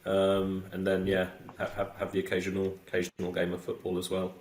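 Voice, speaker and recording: A man's voice: sits low, monotone, a little fast, a little mellow, reverberant, low-quality recording. A middle-aged man from the south coast, a podcast guest talking casually with many fillers and restarts. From south coast of England.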